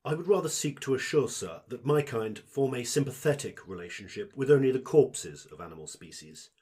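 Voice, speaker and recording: Man playing a fawning, husky fungal shopkeeper. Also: medium pitch, animated, brisk, dark tone. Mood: politely explaining. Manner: fawning, formal, over-polite servile patter. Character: obsequious fungal parasite shopkeeper speaking through a host body. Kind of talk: audio drama acting.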